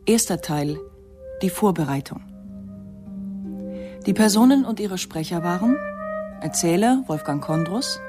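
A woman's voice: on the low side, animated, a little slow, pauses often. A woman announcing the closing credits of a radio play in a scripted style. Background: music.

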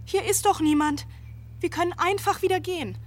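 A woman's voice: very high voice, brisk, soft background music. A woman acting in a German radio drama as an anxious, impatient woman who keeps questioning. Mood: anxious, insistent. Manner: urgent, questioning.

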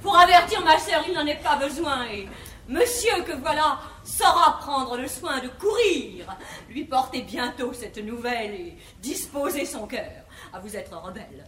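Swooping, high, spiteful young lady. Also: animated, unhurried, a bit bright. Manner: sarcastic, mannered verse delivery. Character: spurned elder daughter, prim, spiteful, mockingly sweet. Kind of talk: classical French stage comedy acting in verse. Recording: echoey, noisy vintage 1950s theatre recording.

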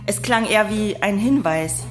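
A woman's voice: medium pitch, normal speed, soft background music, lightly acted. A young woman playing a blunt, stubborn police trainee in a naturalistic radio drama, speaking curtly and dryly. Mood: matter-of-fact. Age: young adult. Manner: curt, dry, colloquial.

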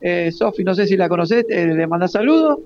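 A man's voice: high voice, animated, a little fast, background noise. An Argentine man hosting an informal live radio interview in a hesitant, jokey way. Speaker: radio host. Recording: slightly dull, lo-fi live radio.

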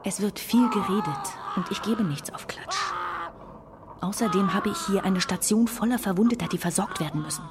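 Woman acting brisk nurse in reverberant, noisy hall. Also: mid-range voice, natural voice. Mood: curt. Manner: businesslike, reserved. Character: brisk, guarded hospital nurse on a busy surgical ward. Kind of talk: German radio drama acting. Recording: long reverb, loud background noise.

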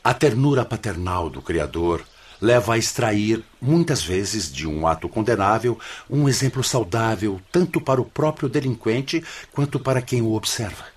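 An actor playing a grave, patient spirit mentor in a radio drama, giving stern fatherly counsel in a measured, formal way. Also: on the low side, lively intonation, slightly rough. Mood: grave, admonishing.

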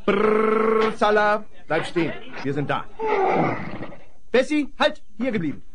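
A friendly young rancher's son in a western adventure radio play. The voice is high and lively, spirited and sure of itself, on a noisy old vinyl recording. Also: male voice, takes it slowly, heavily acted. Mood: cheerful. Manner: spirited, familiar, assured. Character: plucky, friendly young rancher's son, devoted to his dog. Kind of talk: 1970s German western radio play acting.

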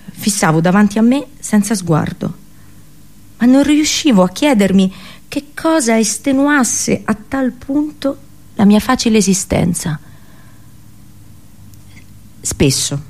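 A woman actor delivering a theatrical radio drama monologue, dramatic and halting, with deliberate pauses and repetitions. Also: mid-range voice, darkish tone. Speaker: actress performing a monologue.

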